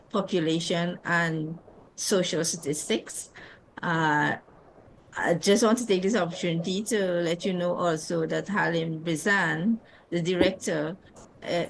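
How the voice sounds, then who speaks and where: A woman's voice: lowish voice, a little hiss or hum, low-quality recording. A senior statistician, a woman, introducing herself formally on a video call.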